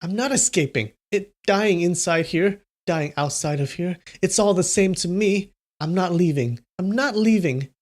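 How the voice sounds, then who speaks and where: Upset, stubborn young woman in a storybook reading, high and wailing, voiced by a man. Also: normal speed, hardly any pauses, natural voice.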